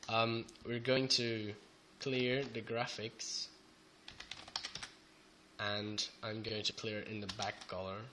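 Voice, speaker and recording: A young man with a Belgian Dutch accent who is a bit sick, talking haltingly through a coding tutorial with many fillers. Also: lowish voice, little pitch movement, background noise. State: sick, voice sounds off. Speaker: programming tutor. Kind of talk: screen-recorded coding tutorial. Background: keyboard typing and clicks.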